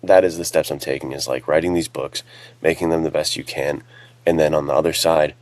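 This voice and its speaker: Super low guy, lots of fry, rambling casual author chat, close dry sound. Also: steady tone, a little fast, darkish tone, no room sound. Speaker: author and podcast panelist.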